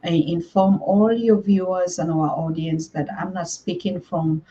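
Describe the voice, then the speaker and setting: A woman's voice: fairly low pitch, fairly even intonation, a little slow, warm tone, muffled recording, a little hiss or hum. A woman from southern Cameroon, a guest on an online interview, who speaks thoughtfully with many ums and uhs.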